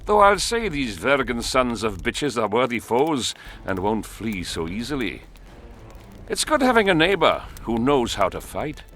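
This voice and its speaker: An actor playing a cynical commander of an invading army in a video game, blunt and swaggering. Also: male voice, mid-range voice, wildly swooping pitch, a little slow, fairly bright tone, a little hiss or hum. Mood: grim pride. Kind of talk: video game dialogue acting.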